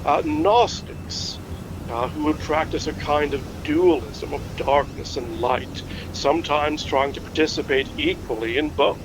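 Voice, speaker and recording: A man playing a learned, hesitant history scholar in a naturalistic fiction podcast, speaking in a halting, pedantic way. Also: on the high side, noisy background, lightly acted. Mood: musing.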